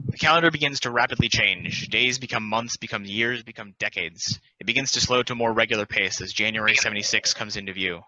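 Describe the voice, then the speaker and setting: A man's voice: lowish voice, fast talker, hardly any pauses, bright, forceful tone, dry recording, poor audio. A man reading scripted stage directions as narrator in a play table read.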